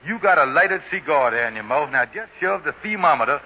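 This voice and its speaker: An actor playing a scheming, smooth-talking husband in a 1940s radio comedy. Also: male voice, on the high side, animated, sharp tone. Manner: coaxing, fast-talking schemer. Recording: dull old radio broadcast, faint hiss.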